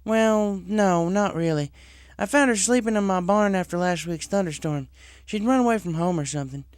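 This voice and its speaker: A man's voice: high voice, moderate pace, clear, resonant tone, no echo, clean studio sound, voice pushed up, natural voice. A man voicing a friendly, easily surprised female farm pony in a story reading. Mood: musing.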